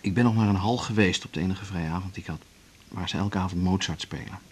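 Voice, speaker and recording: An actor plays a soft-spoken musician in love, in an intimate radio drama. His low voice murmurs hesitantly and wistfully, over faint hiss from a 1980s broadcast. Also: quick pace, lightly acted. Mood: wistful, affectionate. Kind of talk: naturalistic radio drama acting.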